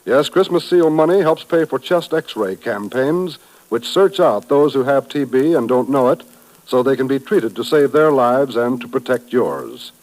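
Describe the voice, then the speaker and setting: Earnest mid-century American radio announcer. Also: male voice, medium pitch, lively intonation, unhurried, darkish tone, poor audio. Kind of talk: scripted 1950s radio announcing.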